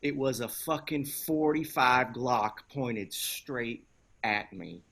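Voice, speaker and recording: A man's voice: mid-range voice, takes it slowly, gravelly, fairly bright tone, heavily acted. A man acting in a screenplay table read as a wisecracking activist and ex-soldier, with a casual, conversational, profane delivery. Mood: wry storytelling. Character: gruff, wisecracking male activist McKenna, an ex-soldier comforting his dying lover.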